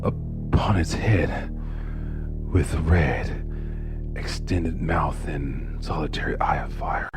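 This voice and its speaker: A man's voice: extremely low pitch, takes it slowly, pauses often, over music, full character voice. An actor playing a deranged, smug murderer who confesses his crime in formal, theatrical horror narration. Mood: grim, haunted. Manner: theatrical, formal, brooding, drops into hushed whispers. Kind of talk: dramatic horror narration of a classic gothic tale.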